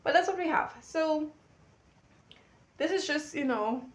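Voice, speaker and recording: A woman's voice: high voice, unhurried. A woman dressmaker chats to her tripod camera in her home studio. She rambles easily, full of fillers and restarts. Her voice sounds a little stuffy, as if she has a cold. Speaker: vlogger and dressmaker. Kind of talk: casual vlog chat to camera.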